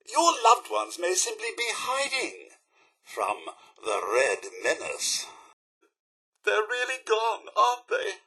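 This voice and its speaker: A dapper British robot butler for a video game. His voice sits fairly high for a man and swings theatrically up and down, with a rasp in it. He is prim, fussy and loyal, speaking with pompous, exaggerated politeness. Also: bright, forceful tone, full character voice. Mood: gently concerned, then distraught.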